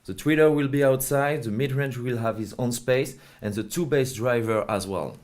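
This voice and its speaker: A man's voice: mid-range voice, moderate pace, a little mellow. Man explaining a product. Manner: hesitant non-native English phrasing. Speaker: company representative being interviewed.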